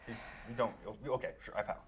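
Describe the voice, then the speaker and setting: A man's voice: medium pitch, fairly even intonation, rapid-fire. A man improvising in-character banter as a player in a tabletop roleplaying game, with faint noise behind him.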